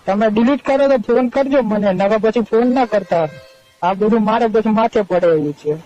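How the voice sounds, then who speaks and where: A man's voice: high voice, moderate pace, warm tone, faint music behind, close to an everyday voice. A man playing a nervous, dismissive young lover who wants out, speaking colloquially in a staged phone-call drama. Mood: insistent, worried. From India. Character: curt, nervous young lover washing his hands of her. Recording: dull lo-fi phone call recording.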